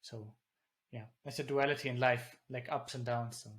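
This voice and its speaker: A man's voice: medium pitch, fairly even intonation, moderate pace, a bit bright. A man born in Germany, a native German speaker, chatting as an interview guest over a video call and rambling with many fillers and restarts. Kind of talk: casual conversation. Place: living in the Netherlands.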